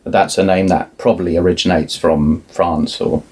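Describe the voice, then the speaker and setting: A middle-aged man, a guest artist, talking in a relaxed, thoughtful way with many restarts. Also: deep voice, moderate pace, breathy edge. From family roots in Ireland, moved around a lot. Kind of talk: relaxed conversational interview.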